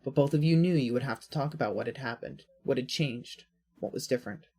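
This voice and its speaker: A woman with a husky voice reading scripted fiction as a narrator. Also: very deep voice, a little fast, warm tone.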